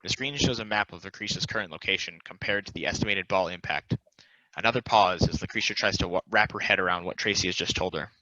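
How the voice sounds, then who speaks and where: A man's voice: low voice, quick pace, sharp tone, no echo. A man reading stage directions as the narrator of a table read, on a slightly dull home microphone.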